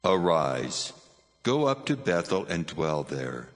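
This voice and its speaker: An actor playing God as a solemn, commanding deity in a dramatised audio Bible, speaking in a stately manner. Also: male voice, deep voice, big pitch swings, takes it slowly, heavily acted. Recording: long reverb over soft music.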